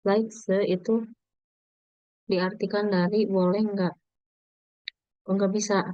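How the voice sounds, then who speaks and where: Slow, patient woman teaching a class. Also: mid-range voice, flat delivery, warm tone, no echo, highs cut off. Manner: slow, deliberate model sentences for learners to repeat. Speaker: Mandarin teacher. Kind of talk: language lesson, explaining in Indonesian.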